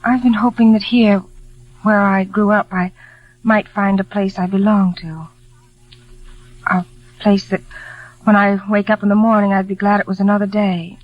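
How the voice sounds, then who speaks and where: An actress playing a shy, gentle young maid in a 1940s radio drama, soft-spoken, polite and wistful. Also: female voice, medium pitch, fairly even intonation, normal speed, long pauses, natural voice. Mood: wistful, earnest. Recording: muffled vintage radio recording.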